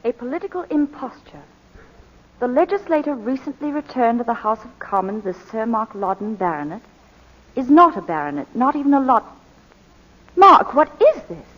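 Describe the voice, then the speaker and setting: An old, muffled, echoing radio recording of an anxious, devoted wife. Her voice is fairly high and a little husky, swooping with theatrical emotion. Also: sing-song, moderate pace. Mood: shocked. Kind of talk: 1940s radio drama acting. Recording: muffled, reverberant 1941 radio broadcast.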